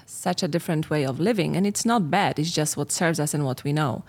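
Polish-accented woman, low and reflective. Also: fairly quick, a little mellow, slightly rough. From Poland. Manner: reflective, earnest, slightly non-native phrasing. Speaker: relationship coach. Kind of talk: casual podcast conversation.

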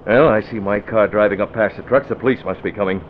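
A man plays a commanding masked crimefighter of a 1940s radio serial. His lowish voice is clipped and dryly sardonic. The recording is tinny, with noise underneath. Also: quick pace, natural voice. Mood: dry, menacing. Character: cool, commanding masked male vigilante hero. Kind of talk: 1940s radio drama acting.

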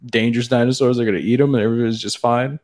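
Guy co-hosting a podcast, average pitched voice, just bantering casually. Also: steady tone, very fast, darkish tone. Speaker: podcast co-host.

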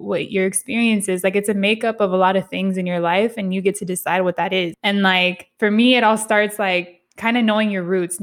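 A woman hosting a podcast, interviewing in a casual, conversational way with slangy, affirming phrasing. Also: mid-range voice. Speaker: podcast host.

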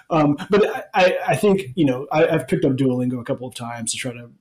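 A man in his forties from Alabama, the usual host, answering interview questions in a relaxed, rambling way with many restarts. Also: a little high, normal speed. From Alabama, United States. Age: 40s. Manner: rambling, many ums and restarts, laughs easily. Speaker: podcast host being interviewed. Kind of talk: casual interview chat.

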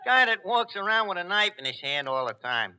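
An actor playing a brash, chummy, uneducated New York tavern manager in a 1940s radio comedy, with slangy, hesitant bluster and mangled words. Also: male voice, high-pitched, big pitch swings, a little fast, sharp tone, clean tone, heavily acted. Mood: breezy, wisecracking. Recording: noisy old 1940s radio broadcast recording.

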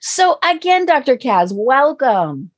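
A woman hosts a business show with bubbly, gushing energy. Her voice sits fairly high and swoops widely, with a husky, breathy edge. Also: sing-song, takes it slowly. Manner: bubbly, gushing, frequent fillers. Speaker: host. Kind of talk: enthusiastic interview hosting.

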